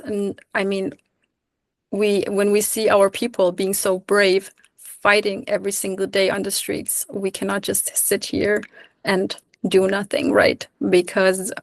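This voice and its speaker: Young woman panelist, earnest and halting, slight fry, dry video-call sound. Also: medium pitch, dry recording. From Kurdistan region of Iraq. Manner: earnest, with frequent ums and restarts. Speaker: webinar panelist, Kurdish diaspora activist. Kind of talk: webinar discussion.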